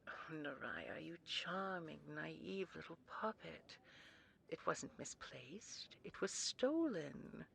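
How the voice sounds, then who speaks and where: Woman as a cold, low, creaky-voiced female commandant. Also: very animated, full character voice. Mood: quietly menacing. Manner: imperious, sarcastic, measured. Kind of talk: sci-fi audio drama.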